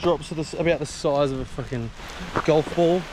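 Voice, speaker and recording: A man's voice: on the high side, brisk, darkish tone, slight background noise, low-quality recording. Joking male hiker on a mountain trail. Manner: joking, sarcastic. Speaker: travel vlogger. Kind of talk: casual on-camera chat while hiking. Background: music.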